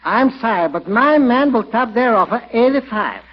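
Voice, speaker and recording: Excitable furniture dealer, very high voice, swooping delivery, comically formal, old radio comedy. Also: male voice, moderate pace. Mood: businesslike. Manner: comically formal, mangled phrases and emphatic delivery. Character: wheeling, fussy male second-hand furniture dealer, excitable and wisecracking. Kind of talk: 1940s radio comedy acting. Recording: dull vintage radio recording.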